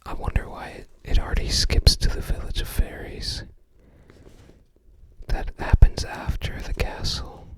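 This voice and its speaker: An ASMR creator speaks entirely in a soft whisper. The pace is very slow, with thoughts arriving in short, tentative fragments. The delivery is casual and rambling, like informal browsing commentary.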